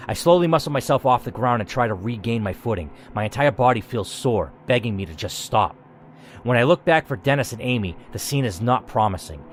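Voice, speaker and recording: A man's voice: medium pitch, a little hiss or hum. A man narrating a story game dramatically and reacting to its choices with emphatic commentary, as a gaming host.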